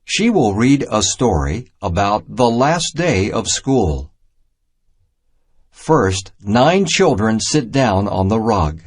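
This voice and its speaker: Male narrator for a kids' educational storybook: deliberate, unhurried read, animated intonation, clearly separated phrases. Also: lowish voice. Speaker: narrator of a children's math storybook. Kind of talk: scripted read-aloud narration, simple and instructional.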